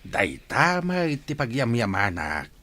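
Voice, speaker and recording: A man's voice: mid-range voice, very animated, measured pace, pushed and bright, heavily acted. An actor playing a patient, devout, burdened village father in an audio drama. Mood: concerned. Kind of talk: acted radio-drama dialogue.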